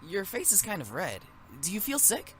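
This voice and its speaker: A woman's voice: medium pitch, wildly swooping pitch, normal speed, husky. A woman voicing a friendly, caring young demon boy in a visual novel game. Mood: friendly concern.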